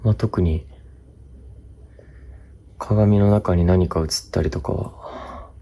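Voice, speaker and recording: A man hosting a ghost-hunting vlog, talking to camera by himself in short, halting fragments. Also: sits low, fairly even intonation, vocal fry, dark tone. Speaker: host of a ghost-hunting video series. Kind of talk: hushed solo vlog narration to camera. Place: indoors, hotel room. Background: music.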